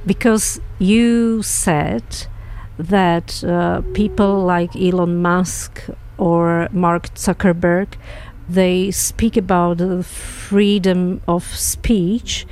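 Brief: woman moderator, lowish warm voice, poses questions slowly and deliberately. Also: steady tone. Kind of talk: hosting a panel discussion.

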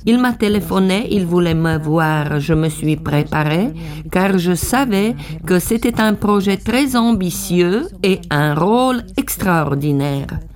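A woman reading a calm first-person voice-over translation of an interview. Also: on the low side, unhurried, crowd noise.

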